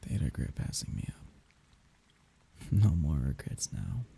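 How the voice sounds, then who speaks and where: Man acting as mourning male lover, audio roleplay, very mellow and dark, raspy husk, murmured, halting, wistful, wry. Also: rumbling low, big pitch swings, heavily acted. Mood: teasing, wistful. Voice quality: husky, gravelly. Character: grieving man saying a last goodbye to his dead lover, tender, wry. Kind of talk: intimate ASMR roleplay acting.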